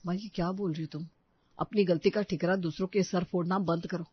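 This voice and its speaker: A woman's voice: on the low side, steady tone, a little fast, breathy edge, no echo, lo-fi sound. A woman playing an earnest, protective young husband and stepfather in a story, speaking firmly. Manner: firm, earnest.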